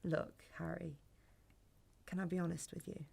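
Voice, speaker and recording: A woman's voice: fairly low pitch, little pitch movement, normal speed, vocal fry, warm tone, breathy edge, exaggerated voice. A young woman playing a blunt, guarded sceptic on a first date in a naturalistic sci-fi audio drama, dry and matter-of-fact. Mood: confessional.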